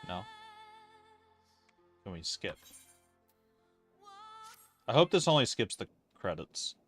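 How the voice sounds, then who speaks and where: A man's voice: lowish voice, big pitch swings, slow, faint music behind. A man giving casual, sardonic livestream commentary as a game streamer, grumbling along as he goes.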